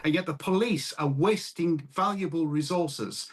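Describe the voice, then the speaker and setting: A man from County Durham, giving measured, wry political commentary as a guest. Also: on the high side. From County Durham, north-east England.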